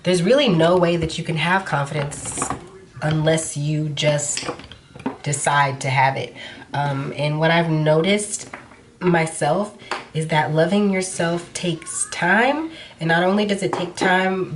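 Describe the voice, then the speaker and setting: A young woman with a low, slightly nasal voice, just over a cold, talking casually to her viewers as she applies makeup. She is frank and chatty. A faint hiss sits behind her. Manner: casual, frank, talks while applying makeup. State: nasal after being sick. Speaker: beauty vlogger. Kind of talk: chatty makeup tutorial talk.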